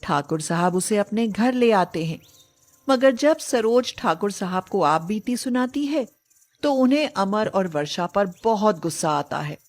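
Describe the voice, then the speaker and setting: A woman narrates a moral story from a script. She goes at a slow, measured pace in a middling female register, as a storyteller. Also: mid-range voice, lively intonation, darkish tone.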